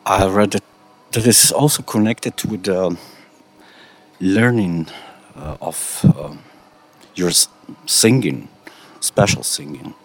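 Slow, very low-voiced male radio interviewer. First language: Slovenian. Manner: slow, halting questions in careful non-native English.

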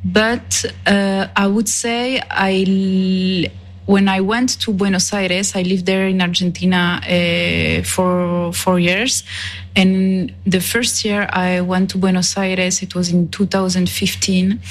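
A female musician from Switzerland gives reflective interview answers in non-native English. She talks slowly at a medium pitch, often halting and repeating words while she looks for the right phrase. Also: flat delivery. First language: not English.

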